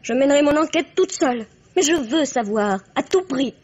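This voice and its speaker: A headstrong young woman of twenty in a vintage French radio mystery. Her voice is very high, breathless and stammering, full of melodramatic anguish. The recording is dull and old-fashioned. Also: lively intonation, moderate pace, a little mellow. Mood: defiant, resolute. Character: anguished, headstrong twenty-year-old daughter accusing her elders of poisoning.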